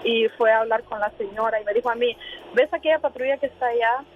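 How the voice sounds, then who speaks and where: A woman's voice: on the high side, fast. Woman on a tinny phone line. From Honduras. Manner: hesitant, filler-heavy telling with quoted dialogue. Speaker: radio call-in listener. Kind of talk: unscripted personal storytelling. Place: in a car while driving. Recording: tinny noisy phone call.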